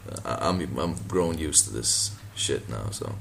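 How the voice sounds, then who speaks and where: A man from Malta, a native Maltese speaker, with a deep voice, talking casually and sardonically in a response video, laughing and swearing as he goes. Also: lively intonation, a little slow, gravelly, fairly bright tone, slight background noise. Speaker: boxing channel vlogger.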